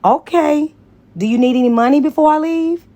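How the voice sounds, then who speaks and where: A woman's voice: sits high, moderate pace, mellow, pitched above the performer's own voice, lightly acted. A woman voicing a persistent, smitten married man who pleads with his lover in an audiobook. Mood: hopeful. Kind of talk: dramatized audiobook dialogue.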